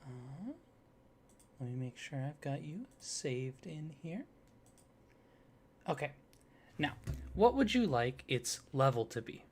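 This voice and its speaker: A soft-spoken man doing an ASMR roleplay, playing a polite office clerk who talks the listener through a form. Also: a little high, animated, slow, pauses often, a little hiss or hum. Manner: soft-spoken, polite customer-service patter.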